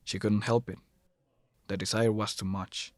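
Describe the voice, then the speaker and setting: A man's voice: lowish voice, level tone, a little slow, no room sound. A man reading scripted third-person narration for a dramatic audio story.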